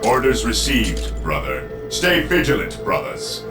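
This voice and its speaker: A man's voice: medium pitch, very animated, a little slow. A man playing a dutiful, battle-ready space marine in a video game, giving clipped military replies. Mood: brisk, dutiful. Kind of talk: game unit voice lines. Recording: echoey, buried under loud music.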